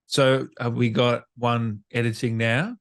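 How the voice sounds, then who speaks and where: A man's voice: medium pitch, level tone, measured pace. Man casually hosting a gaming interview. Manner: brief, agreeable interjections. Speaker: interviewer, gaming podcast host. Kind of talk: casual chat.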